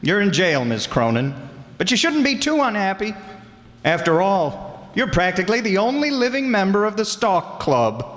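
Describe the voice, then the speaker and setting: An actor playing a shrewd, coolly confident detective in an old-time radio drama, wry and unyielding. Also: male voice, high-pitched, big pitch swings, moderate pace, a bit bright, slight background noise. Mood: smug, knowing. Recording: live stage performance in reverberant hall.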